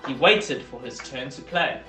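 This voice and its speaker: Someone reading a children's story aloud in an expressive, deliberate way, leaning into the sound words. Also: medium pitch, over music. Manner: animated, deliberate, emphatic on dialogue and sound words. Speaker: volunteer storybook reader. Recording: roomy echo.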